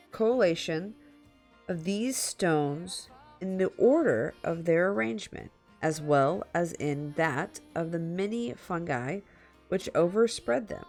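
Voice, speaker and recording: A woman streamer reading a story aloud in a dramatic, halting way, slipping into casual asides. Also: mid-range voice, darkish tone. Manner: halting, repeats and corrects stumbled words.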